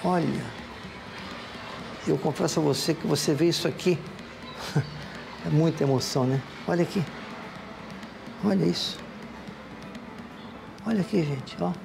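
A man's voice: a little high, measured pace, creaky, long pauses, background noise. A Brazilian man in his sixties, hosting a travel vlog with effusive, exclamatory narration, calling out to viewers as he tours a museum crypt and a city street. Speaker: TV host on a travel segment.

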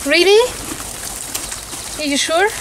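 A hesitant, earnest fairytale heroine, acted by a young woman with a high voice. She sounds questioning and unsure, in a homemade film shot in the woods. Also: slow, background noise. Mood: eager. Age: young adult. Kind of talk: amateur fairytale film acting. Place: outdoors in woodland.

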